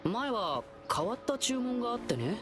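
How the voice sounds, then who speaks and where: Eager, earnest teenage boy for an anime game, lively intonation. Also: fairly high pitch, moderate pace, over music. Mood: friendly, earnest. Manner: eager, boyish, casual speech. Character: earnest, upbeat, kind teenage boy lion dancer.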